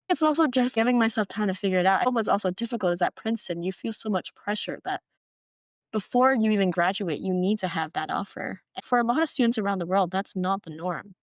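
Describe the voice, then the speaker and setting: Podcast guest, twenties, from Hong Kong, earnest and reflective, low register, dry booth sound. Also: female voice, no room sound. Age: 20s. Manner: reflective, earnest, uses "like" and "you know" often. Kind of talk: podcast interview.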